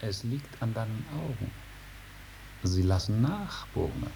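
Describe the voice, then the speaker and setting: An actor playing an aloof old male dragon in a 1950s German radio drama, speaking in a drawn-out, unhurried and repetitive way. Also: fairly low pitch, sing-song, vocal fry, warm tone, heavily acted. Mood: detached, regretful. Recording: noisy 1950s radio recording.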